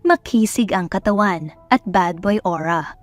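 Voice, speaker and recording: A woman's voice: medium pitch, a little slow. A woman with a Filipino accent reading scripted romance story narration. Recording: dry voice over background music. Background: music.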